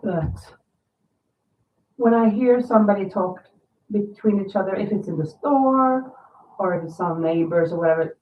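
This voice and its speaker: A woman in her fifties chats loosely and rambles, her voice a little nasal and raspy from a lingering cold. She sits some way from the mic. The sound is thin like a phone line and echoes in the room. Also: mid-range voice, normal speed, long pauses, recorded from a distance, a little hiss or hum. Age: 50s. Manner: chatty, rambling, reads viewer comments aloud. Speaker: crochet livestream host. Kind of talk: casual livestream chat. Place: home.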